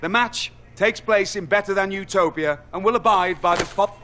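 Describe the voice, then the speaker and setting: Timid officious game messenger, high voice, hesitant formal stammer, faint noise behind. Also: male voice, normal speed, a bit bright. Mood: nervous, officious. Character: timid, officious male messenger reading contract rules. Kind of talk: scripted video game acting.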